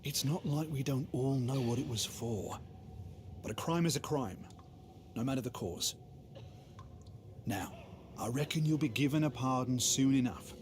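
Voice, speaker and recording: A man's voice: mid-range voice, a little mellow, close to an everyday voice. Man as a firm lawman over background noise. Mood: stern, regretful. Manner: matter-of-fact, measured. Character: firm but sympathetic male lawman. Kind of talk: dramatic game voice acting.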